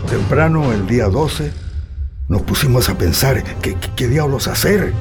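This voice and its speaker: A man's voice: medium pitch, natural voice. An actor playing a somber Chilean survivor, narrating his memories confidingly in a radio drama. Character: somber male survivor recalling the coup to a friend. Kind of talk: dramatized first-person narration. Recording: loud music behind narration.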